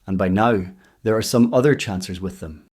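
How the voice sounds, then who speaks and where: A man's voice: on the low side, normal speed, darkish tone, husky. A man playing a bitter Irish convict in an audio drama, telling grim news in a grave storytelling way. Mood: grim, urgent.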